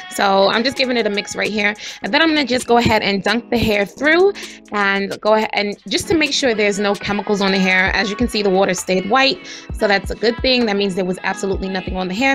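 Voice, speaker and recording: A woman with a raspy voice, chatting casually to her viewers as she reviews hair and walks through a tutorial. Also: mid-range voice. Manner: chatty, addresses viewers directly. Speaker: hair vlogger.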